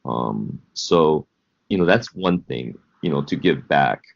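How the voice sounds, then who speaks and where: A very deep-voiced man in his forties, from South Korea, speaks as a guest coach. He answers thoughtfully and haltingly, restarting his phrases often. His voice comes through a dull, muffled lo-fi connection. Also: lively intonation, gravelly. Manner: halting, reflective, with many repeats and fillers. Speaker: high school basketball coach, guest. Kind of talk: casual interview answers.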